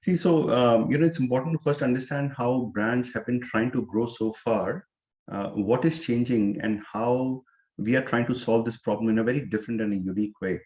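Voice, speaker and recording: A man, a startup co-founder answering interview questions in a measured way, often saying "you know". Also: medium pitch, darkish tone, muffled recording. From India.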